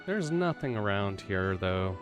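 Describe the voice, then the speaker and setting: A woman with a very deep voice livestreams a game. Her commentary is casual and dry, in short muttered remarks. Music is clearly audible behind her. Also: very animated, a little slow. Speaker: live streamer reacting to a game.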